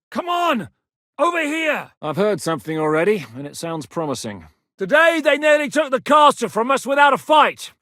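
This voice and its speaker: A battle-hardened rebel commander, lively, animated delivery, game voice work. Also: male voice, high voice, no echo, studio quality, full character voice. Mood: shouting orders. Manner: commanding, blunt, bellows battle orders. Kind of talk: game voice acting.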